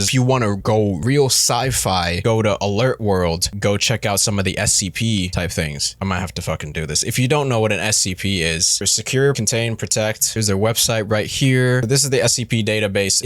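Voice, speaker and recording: Low-voiced man giving casual commentary, dry sound. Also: fairly bright tone, no room sound. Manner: profane, slangy, blunt. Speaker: video creator and commentator.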